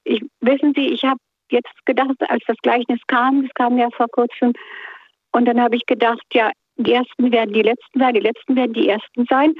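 A woman's voice: fairly high pitch, little pitch movement, brisk, husky, dry recording. A woman calling into a radio show, talking in a hesitant, devout, rambling way. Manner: hesitant, searching for words, devout. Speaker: radio caller. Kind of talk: phone-in question, rambling. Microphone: telephone. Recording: tinny phone line.

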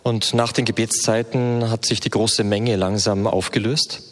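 Low-voiced male interpreter in a hall. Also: steady tone, measured pace. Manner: fluent, with frequent hesitation fillers. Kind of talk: consecutive interpreting of a sermon. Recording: reverberant hall.

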